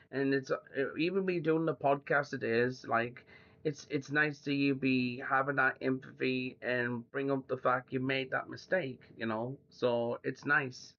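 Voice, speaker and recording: A man's voice: mid-range voice, creaky, sharp tone. A young man hosting a podcast, chatting casually and rambling with lots of fillers. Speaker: podcast host. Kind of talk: casual chat. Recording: dull lo-fi audio.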